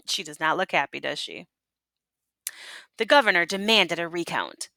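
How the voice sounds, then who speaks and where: Female storybook reader, teacher type, lively swooping intonation, questioning asides, dry recording. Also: lowish voice, normal speed, no echo. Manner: teacherly, prompting listeners with questions. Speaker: teacher reading a picture book aloud to children. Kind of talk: expressive read-aloud storytelling with asides and questions.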